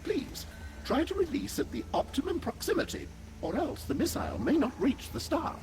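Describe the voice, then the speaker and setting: A man's voice: extremely high pitch, very animated, moderate pace, a little mellow, soft background music, exaggerated voice. A man voicing a fussy, formal alien scientist in a video game, speaking in verbose, pedantic phrases. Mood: earnest, explanatory. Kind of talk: scripted video game character acting.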